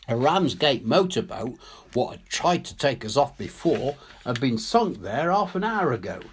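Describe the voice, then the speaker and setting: A man's voice: medium pitch, breathy edge, exaggerated voice. A man reading a story as a working-class English soldier, telling his war tale colloquially, wry and awed. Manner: colloquial, ungrammatical, animated storytelling. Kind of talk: dramatic audiobook reading.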